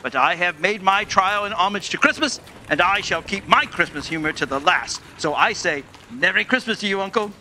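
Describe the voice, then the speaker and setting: A man playing a cheerful, earnest, polite gentleman in a radio play, speaking courteously. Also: high-pitched, animated, normal speed, few pauses, exaggerated voice. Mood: earnest, warm. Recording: faint background noise.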